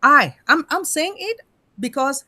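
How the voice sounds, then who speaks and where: High-voiced, emphatic African-accented woman. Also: big pitch swings, a little slow, bright, forceful tone, no echo, studio quality. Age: middle-aged. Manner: emphatic, rhetorical questions. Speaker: panel member in an open discussion. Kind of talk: impassioned informal discussion.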